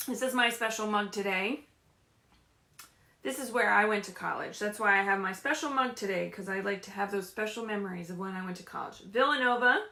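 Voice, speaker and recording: A woman reading and chatting to young children as a story time leader, animated and often prompting them with questions. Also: medium pitch, fairly quick, reverberant. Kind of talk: read-aloud picture book storytelling for young children. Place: indoors at home.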